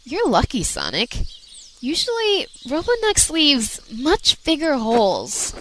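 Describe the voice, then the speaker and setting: A woman playing a brisk, caring companion who teases matter-of-factly in an amateur radio drama. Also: high voice, huge pitch swings, fairly bright tone, full character voice. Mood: brisk, teasing. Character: brisk, teasing, caring companion of a hedgehog hero. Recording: noisy amateur recording.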